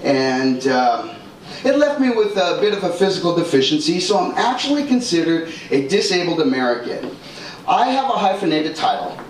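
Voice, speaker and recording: A man's voice: fairly high pitch, big pitch swings, normal speed. A man doing a stand-up comedy set on a club stage, punchy and exaggerated, slipping into character voices. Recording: echoey club audio from far off, loud room noise.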